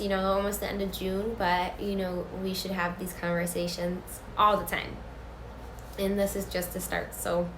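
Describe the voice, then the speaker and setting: Woman educator, stuffy and sick, earnest live-stream host, echoey room with faint hiss. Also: medium pitch. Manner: filler-heavy, earnest, repeats for emphasis. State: congested, sick.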